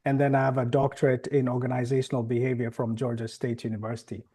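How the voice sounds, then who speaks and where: A man presents to a webinar audience over a video call. His voice is warm and mellow, and he explains things in a measured, steady way. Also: medium pitch, slightly rough. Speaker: webinar guest speaker.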